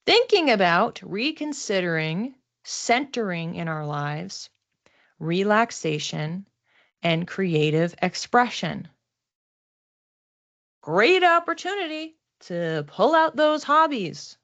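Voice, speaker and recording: A woman's voice: medium pitch, sing-song, slow, fairly bright tone. A woman teaching a class in an informal, conversational way, explaining point by point and often setting out short listed phrases. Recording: slightly dull, lo-fi audio.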